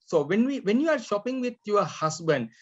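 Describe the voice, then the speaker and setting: A man running a mock speaking exam as tutor, talking haltingly with many fillers and often clearing his throat, heard over a video call. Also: high voice, wildly swooping pitch. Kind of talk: examiner questions over an online class. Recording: dull lo-fi online call audio.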